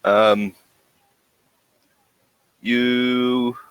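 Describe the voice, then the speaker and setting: A man acting as game master, narrating a tabletop game casually with hesitant pauses as he describes scenes. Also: medium pitch, flat delivery, clean tone, no echo, rough recording.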